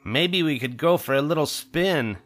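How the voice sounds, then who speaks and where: A bold, daring young girl bear cub, voiced by a man reading aloud. The pitch is lifted above an adult male voice and moves around freely, in a casual storybook manner. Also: animated, normal speed, fairly bright tone. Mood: curious, casual.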